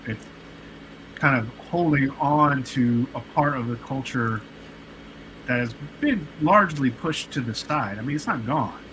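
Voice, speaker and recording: A man in his late forties, a guest telling stories in a relaxed, rambling way with many fillers and restarts on a podcast. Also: medium pitch, unhurried, noisy background. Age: 40s. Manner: laid-back, rambling, lots of fillers and restarts. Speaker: guest, producer and artist. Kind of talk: casual podcast interview storytelling.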